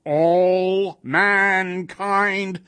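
A man voicing a menacing, humorless golem in a storybook reading, with harsh, monotonous, slow and loud portentous declarations. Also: high voice, smooth, no echo, pitched above the performer's own voice, full character voice. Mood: grandiose. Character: menacing gray-faced male golem, grandiose and humorless.

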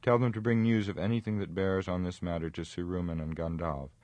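A wise old wizard as a male reader plays him in a fantasy audiobook, with an extremely low voice. He speaks gravely and firmly, weary but measured, and the sound is dry. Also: steady tone, a little mellow, no echo, natural voice. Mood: urgent, commanding. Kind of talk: dramatic audiobook reading of fantasy.

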